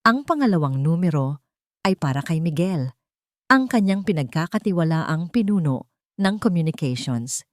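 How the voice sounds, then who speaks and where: A woman narrating a scripted dramatic story as an audiobook, with solemn, deliberate phrasing. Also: sits low, animated, dry recording, studio quality.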